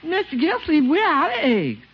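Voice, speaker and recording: An actress playing a plain-spoken, unflappable household cook in a 1950s radio sitcom, folksy and sassy. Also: female voice, sits high, wildly swooping pitch, moderate pace. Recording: muffled old radio recording, faint noise.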